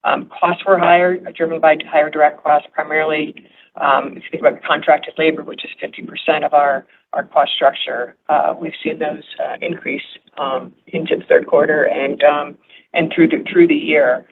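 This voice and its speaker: A woman speaking as a finance executive on an earnings call, explaining in a halting, measured way. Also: sits low, flat delivery. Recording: phone-like conference line, noisy.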